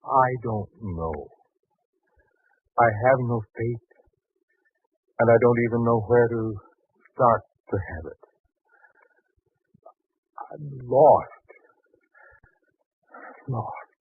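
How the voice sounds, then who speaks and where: An anguished, indecisive family man in an old 1940s radio drama. His delivery is hesitant, brooding and theatrical, with a rough-edged voice of middling pitch on a narrow, lo-fi broadcast recording. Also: drawn-out words, pauses often, no echo, full character voice. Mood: despairing. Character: anguished, indecisive, doubting husband and father.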